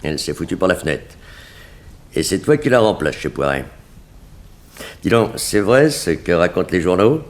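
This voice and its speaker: An actor playing a calm, coercive and sardonic blackmailer in a 1960s French radio crime drama. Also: male voice, low-pitched, normal speed, pauses often. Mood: brisk, businesslike. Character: menacing male blackmailer, smooth crook in haute couture.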